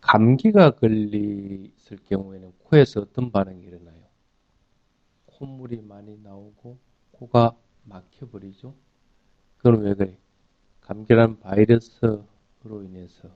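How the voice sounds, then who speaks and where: A man's voice: fairly low pitch, no echo, highs cut off. A man teaching in an explanatory dharma talk, putting questions to listeners and answering them in a didactic way. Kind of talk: explanatory sermon with everyday examples.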